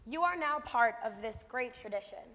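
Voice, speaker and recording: A woman's voice: on the high side, expressive, normal speed, a bit bright. A female student performer delivering scripted readers theater lines. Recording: reverberant hall, audible crowd.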